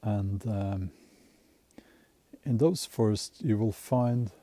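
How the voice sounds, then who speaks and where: A man's voice: fairly low pitch, slow, dark tone, no echo. A man with a Norwegian accent talks casually to his camera in a spruce forest. He rambles in an easygoing way, with many fillers and restarts. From Norway. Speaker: bushcraft vlogger. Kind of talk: casual outdoor vlog talk. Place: outdoors in a snowy spruce forest. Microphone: camera on a tripod held as a selfie stick.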